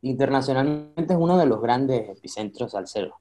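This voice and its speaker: A man's voice: medium pitch, moderate pace. A man, a guest chatting casually and easily, with a child of his own. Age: adult with a child. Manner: relaxed, chatty, many fillers like "este". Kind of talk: casual conversation.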